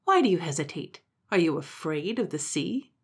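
A woman's voice: on the low side, moderate pace, a little mellow. A woman voicing a young male hero who leads an animal army, speaking in a firm, commanding way. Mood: scolding.

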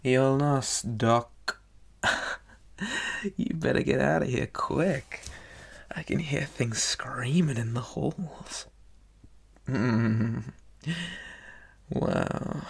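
A man playing a deranged, taunting monster boy inmate in an ASMR horror roleplay, with a breathy, whispery rasp and a slow, teasing drawl. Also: mid-range voice, exaggerated voice. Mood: taunting, menacing.

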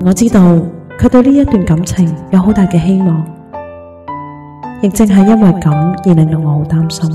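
An actress with a Hong Kong Cantonese accent playing a gentle, caring young best friend in a radio drama. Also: female voice, on the low side, moderate pace, over music, natural voice. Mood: reflective.

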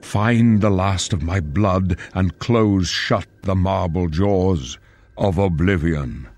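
Slow, deep-voiced solemn old emperor. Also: male voice, a little mellow, exaggerated voice. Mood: solemn, foreboding. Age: older. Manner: stately, measured, portentous. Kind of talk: grave fantasy game acting.

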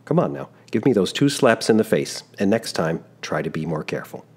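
A man reading a storybook aloud, voicing a smiling male town constable who speaks with great courtesy and gentle insistence. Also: low-pitched, big pitch swings, fairly quick, breathy edge, exaggerated voice. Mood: courteous.

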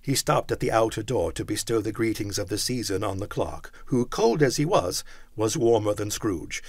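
Man, audiobook narrator, expressive, lively pitch, dry close sound. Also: moderate pace, husky, no room sound. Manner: expressive storytelling. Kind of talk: classic story reading.

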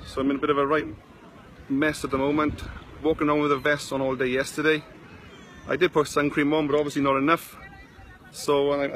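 A man's voice: a little high, a bit bright, a little hiss or hum. A man in his forties with a South Wales valleys accent, chatting casually to viewers on a live video from a sunny beach, talking into a phone held at arm's length. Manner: rambling, chatty, greets viewers by name. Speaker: online marketing vlogger. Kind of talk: casual Facebook live chat.

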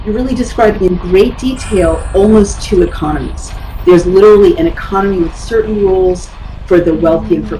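A woman's voice: low voice, far from the mic, noisy background. A woman giving explanatory economic commentary in conversation, articulate and informal.

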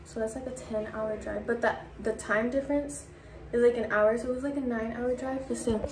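Casting: American teen girl, high voice, bubbly slangy travel vlogger, recorded on a phone with clear background noise. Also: fairly even intonation, fairly quick. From California, United States. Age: teens. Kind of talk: casual chatty vlog. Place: car, outdoor stops and hotel room. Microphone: phone at arm's length. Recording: noisy handheld phone, road and street ambience.

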